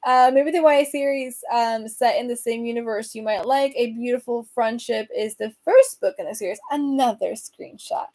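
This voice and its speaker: A young woman from Venezuela speaks with a Venezuelan accent and a warm, soft tone that sits a little high. She chats loosely with her viewers, reading their comments aloud, with lots of fillers and laughs. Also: moderate pace, hardly any pauses. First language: Spanish. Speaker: host. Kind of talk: casual livestream chat.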